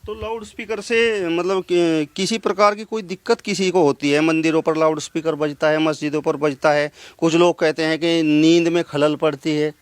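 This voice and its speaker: A man interviewing a holy man, asking measured, formal questions. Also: fairly high pitch, fairly even intonation, clean tone, dry recording.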